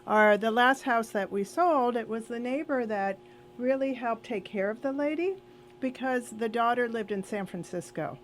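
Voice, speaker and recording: A woman's voice: a little high, normal speed, a little hiss or hum. A woman guest on a radio show chatting casually and telling anecdotes, acting out the dialogue she quotes. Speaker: radio show guest, senior seminar organizer.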